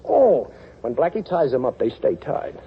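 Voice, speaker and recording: A man's voice: huge pitch swings, normal speed, creaky, husky, exaggerated voice. An actor playing a cool, wisecracking male amateur sleuth in a 1940s radio drama, breezy and self-assured. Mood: wry. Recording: muffled old radio recording, faint noise. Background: vehicle rumble.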